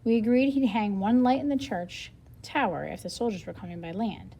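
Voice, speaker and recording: A woman reading a nonfiction book aloud as a lesson for children, expressively, pausing to explain things simply and think out loud. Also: mid-range voice, creaky, slight background noise, low-quality recording.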